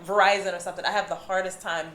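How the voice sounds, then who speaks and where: A woman from the United States chatting casually in a group, relaxed and full of "like". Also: lowish voice, very fast. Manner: relaxed, lots of "like" and agreeing murmurs. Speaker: panelist. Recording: echoey room with faint noise.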